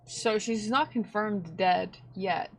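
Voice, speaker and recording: A woman's voice: medium pitch, slow, a little hiss or hum, rough recording. A woman streamer reacting casually to a game in short, slangy interjections. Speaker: gaming streamer. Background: game music.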